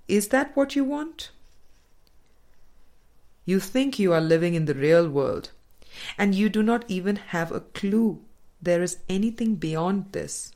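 A woman reading a spiritual dialogue book aloud as an audiobook, in a measured, deliberate manner with pauses. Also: deep voice, darkish tone. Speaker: audiobook reader.

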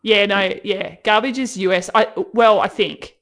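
An Australian woman hosting a podcast, talking in an animated, casual way and laughing a lot. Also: medium pitch, moderate pace. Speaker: podcast host. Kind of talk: casual interview chat.

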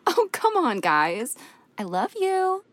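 Brash American woman, high, swooping voice. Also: wildly swooping pitch, takes it slowly, exaggerated voice. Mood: blunt, playful. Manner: blunt, sassy. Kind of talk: comic audio drama acting.

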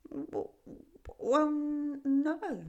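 A woman's voice: high-pitched, animated, very slow, darkish tone, muffled recording, pitched above the performer's own voice, full character voice. A woman voicing a timid, lonely frog that stammers, in a read-aloud storybook. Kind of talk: storybook reading.

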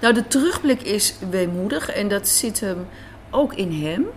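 A woman's voice: mid-range voice, lively intonation, measured pace. Thoughtful woman over faint café noise. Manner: thoughtful, searching for words. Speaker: radio drama writer and director, interviewee. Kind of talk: reflective interview talk.

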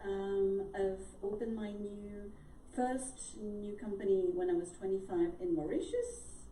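Dark-voiced Swiss woman in an echoey room. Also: medium pitch, steady tone. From Switzerland. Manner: measured, self-deprecating, slightly halting. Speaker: guest, HR professional. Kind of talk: casual live-show conversation. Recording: echoey room, distant from mic, faint noise.

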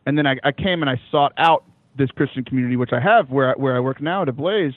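A young man with a loud voice, talking casually as an interview guest, animated, with many fillers and restarts, laughing often. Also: medium pitch, fast, dry recording. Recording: dry, phone-like call line.

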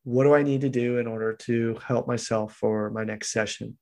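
A man's voice: mid-range voice, fairly even intonation, moderate pace, creaky, darkish tone. A man, a fitness coach and podcast guest, explaining his training approach in an earnest, coaching way, now and then acting out what a trainee tells himself. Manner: earnest, coaching, acts out inner dialogue. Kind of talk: casual explanatory chat.

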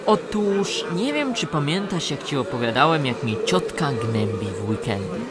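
An actor playing a put-upon, grumbling painter in a comic radio play, exasperated and bookishly wordy. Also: male voice, medium pitch, sing-song, normal speed, very noisy, close to an everyday voice.

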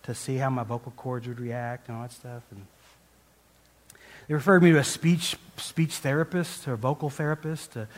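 Male guest preacher, conversational sermon, rambling and self-deprecating, mid-range voice, long reverb of a large church hall. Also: mid-range voice. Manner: rambling, self-deprecating, frequent restarts and laughs. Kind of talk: conversational sermon with personal story.

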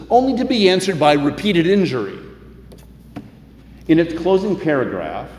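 Older preacher in an echoey church. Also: male voice, fairly high pitch, a little mellow. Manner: measured preaching, slowing for emphasis. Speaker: pastor. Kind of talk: sermon. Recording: echoey hall, faint room noise.

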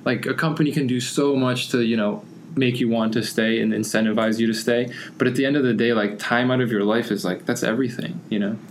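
A man's voice: mid-range voice, fairly even intonation, a little fast, slight background noise. A young man from the United States chatting casually, rambling through his thoughts with constant "like" fillers. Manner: rambling, heavy use of "like" fillers. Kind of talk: casual conversation.

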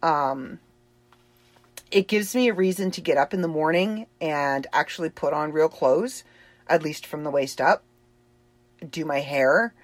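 Woman, Boston accent, casual chatty self-updating host, a touch low-pitched, dry and close-miked. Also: unhurried, long pauses, dry recording. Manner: chatty, rambling, laughs easily. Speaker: author and livestream host.

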